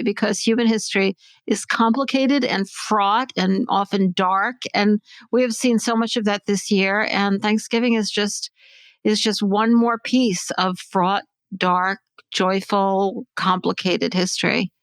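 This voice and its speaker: A woman co-hosting a podcast. Her voice is medium in pitch, her talk casual and reflective, and the recording is dry. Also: measured pace, no room sound. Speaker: podcast co-host.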